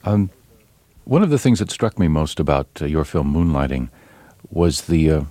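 A man asks an interview guest measured questions in a low voice, now and then pausing on fillers. The recording is dry and close. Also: lively intonation, mellow, dry recording.

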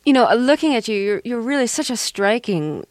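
A woman's voice: a little high, sing-song, a little fast, dry recording. Curious woman interviewer, dry close sound. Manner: curious, friendly questioning. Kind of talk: conversational interview.

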